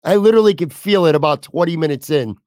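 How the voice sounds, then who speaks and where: Hoarse man. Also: on the high side, quick pace, a little mellow, no echo, clean studio sound. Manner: candid, blunt, casual with mild swearing. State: losing his voice, hoarse. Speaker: podcast host. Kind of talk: solo sports talk podcast.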